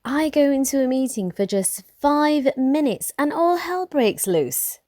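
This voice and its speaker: A woman's voice: high voice, very animated, measured pace, a little mellow, dry recording, studio quality. A woman playing a curt, exasperated lawyer in a radio play. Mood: annoyed.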